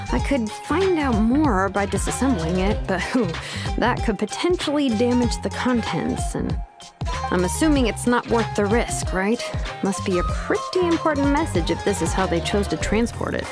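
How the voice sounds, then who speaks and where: An easygoing young woman mechanic played by an actress in a video game, curious and casual as she thinks aloud. Her slightly high voice moves around animatedly, with music playing underneath. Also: close to an everyday voice. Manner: casual, musing aloud with breezy interjections. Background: background music.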